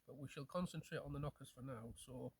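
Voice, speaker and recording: A man's voice: mid-range voice, quick pace, slightly rough, no echo. A man telling local folklore in a conversational way, feeling for his phrasing and checking for agreement. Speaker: storyteller, host.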